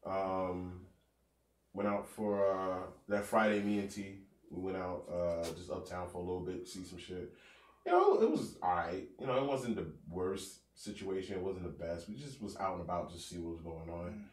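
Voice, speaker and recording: A laid-back man with a very low voice rambles through his week in easygoing slang, sounding a bit stuffed up and sniffly. He sits back from the mic in an echoey room. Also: lively intonation, normal speed, far from the mic. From North New Jersey. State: congested, sniffling from allergies. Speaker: podcast host. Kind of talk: casual podcast chat.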